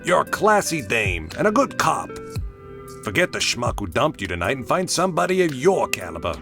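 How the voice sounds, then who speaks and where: A man voicing a gruff, cynical older cop in a comic dub, with a gravelly voice. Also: medium pitch, very animated, normal speed, over music. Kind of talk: dramatic comic-dub acting.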